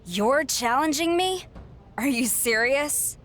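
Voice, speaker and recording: A woman's voice: sits high, lively intonation, slow pace, a bit bright, full character voice. A woman voicing a determined young female wrestling champion in a game cutscene, earnest and defiant. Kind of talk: video game cutscene dialogue.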